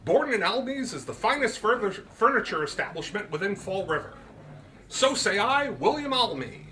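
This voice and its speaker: A man voicing a stiff, proud gentleman merchant in a comic story, making formal declarations. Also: sits high, big pitch swings, moderate pace, distant mic, slight background noise, voice pushed up, full character voice.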